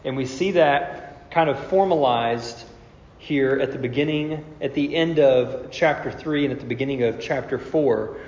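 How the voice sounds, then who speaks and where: A man's voice: mid-range voice, measured pace, a little mellow. A man, a pastor getting over a cold, teaching through scripture step by step in an explanatory sermon. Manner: explanatory, step-by-step, rhetorical questions. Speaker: pastor preaching. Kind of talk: expository Bible-teaching sermon. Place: large reverberant room, preaching to congregation. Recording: echoey hall reverb, slightly dull sound.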